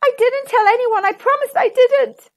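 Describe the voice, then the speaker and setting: A woman's voice: very high-pitched, moderate pace, voice pushed up. A woman voicing a timid, anxious male barber in a storybook, with a pleading, whining manner. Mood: panicked, pleading.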